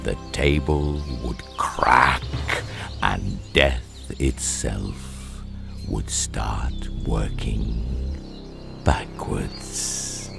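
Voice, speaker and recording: A man playing a majestic, gentle, wise lion in a radio drama, speaking in a slow, solemn, measured way. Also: extremely low pitch, vocal fry, music in the background, exaggerated voice. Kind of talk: radio theatre acting.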